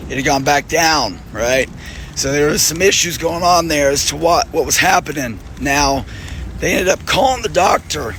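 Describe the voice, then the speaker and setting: A hoarse male nurse, losing his voice, giving an angry, profane video appeal and clearing his throat often. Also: fairly high pitch, moderate pace, a bit bright, slight background noise. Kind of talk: direct-to-camera video appeal.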